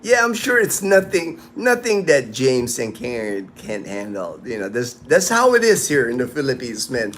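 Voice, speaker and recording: A man's voice: mid-range voice, sing-song, moderate pace, hardly any pauses, fairly bright tone, a little hiss or hum. A man in his late fifties hosting a casual livestream, chatting with viewers in a rambling, easygoing way with lots of restarts, outdoors in the sun. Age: 50s. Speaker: livestream host. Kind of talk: casual livestream chat reading viewer comments.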